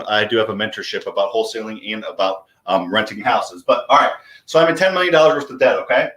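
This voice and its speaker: A man in his thirties with an American accent coaches viewers on a casual live stream. His voice sits at a middle pitch and he often repeats key phrases for emphasis. The sound is noticeably roomy and echoey. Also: fairly bright tone. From Missouri, US. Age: 30s. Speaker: host, real estate investing coach.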